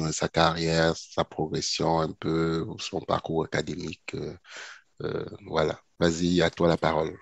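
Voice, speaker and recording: A man's voice: deep voice, creaky, no echo. A man hosting an interview as a project management coach, speaking with some hesitation and fillers. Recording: music playing behind.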